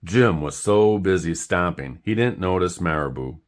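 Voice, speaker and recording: A man's voice: low-pitched, expressive, normal speed. A man narrating a scripted children's bedtime story as a storybook reader.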